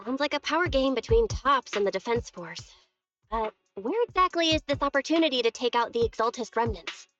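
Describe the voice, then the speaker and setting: An actress playing an inquisitive, businesslike young agent in a video game, speaking in a direct, questioning way. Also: female voice, on the high side, animated, fairly quick, fairly bright tone, muffled recording. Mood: curious, alert. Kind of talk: scripted video game voice acting.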